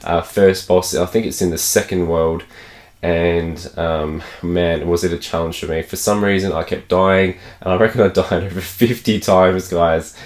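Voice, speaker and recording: An Australian man with a croaky voice from a cold, chatting enthusiastically to camera about games he picked up and laughing often. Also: deep voice, steady tone, normal speed. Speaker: gaming channel host. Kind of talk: casual unboxing chat to camera.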